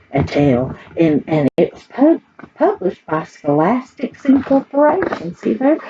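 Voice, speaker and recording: Lively Southern US woman storyteller, very animated pitch. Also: lo-fi sound, noisy background. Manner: chatty, folksy, asking the listeners questions. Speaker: library storyteller. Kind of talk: children's picture-book read-aloud with casual asides.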